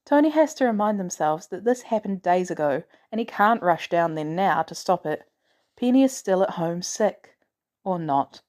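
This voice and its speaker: Mellow-voiced woman narrating a story. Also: on the low side, expressive, moderate pace. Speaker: audiobook narrator of fan fiction.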